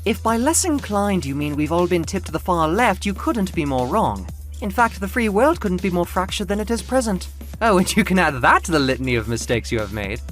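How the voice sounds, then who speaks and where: A man voicing an exasperated, sharp-tongued male painter in a theatrical, sarcastic way for an audio drama. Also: high voice, very animated, brisk, faint music behind, voice pushed up.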